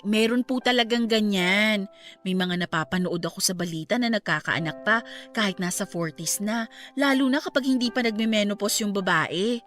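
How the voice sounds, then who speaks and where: A woman acting a polite young niece in a radio drama, reassuring and respectful, over soft music. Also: medium pitch, close to an everyday voice. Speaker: niece about to work abroad.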